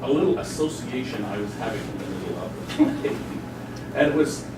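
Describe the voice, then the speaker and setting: A man's voice: medium pitch, animated, moderate pace. A man casually telling an anecdote in a group discussion, amused and rambling. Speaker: participant in post-reading discussion. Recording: very echoey room, distant mic, loud noise.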